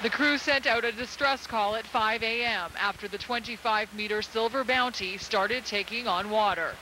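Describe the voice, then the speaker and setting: A woman's voice: on the high side, moderate pace, bright, forceful tone, slight background noise, poor audio. A woman reporter reading a scripted news voice-over with urgent, dramatic delivery.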